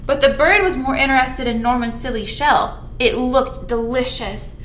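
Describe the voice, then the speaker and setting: A woman's voice: high-pitched, normal speed. A woman leading storytime for young children, reading aloud in a playful, exaggerated way with chatty asides to her little listeners. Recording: roomy echo with background noise.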